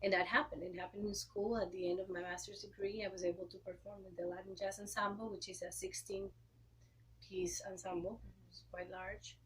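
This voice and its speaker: A woman in her thirties from Costa Rica, speaking English with a Costa Rican Spanish accent, explaining things in an interview and clearing her throat often. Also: medium pitch, flat delivery, fairly quick, a little hiss or hum, poor audio. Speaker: guest, opera singer and educator. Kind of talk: interview answers.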